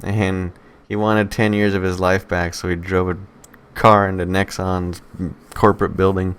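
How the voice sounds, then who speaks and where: A man's voice: low voice, flat delivery, unhurried, a bit bright, smooth. A man co-hosting a podcast, bantering casually and informally with the odd swear word. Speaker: podcast co-host.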